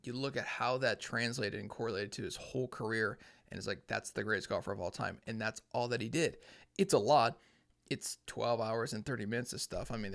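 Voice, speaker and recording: Earnest young man thinking aloud. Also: medium pitch. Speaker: podcast host. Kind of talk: casual solo talk.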